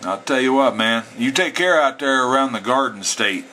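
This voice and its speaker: A man's voice: mid-range voice, expressive, moderate pace, sharp tone, a little hiss or hum. An American man with a folksy, plain-spoken way, talking casually as a CB radio hobbyist. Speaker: amateur CB radio operator. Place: indoors at a home radio setup.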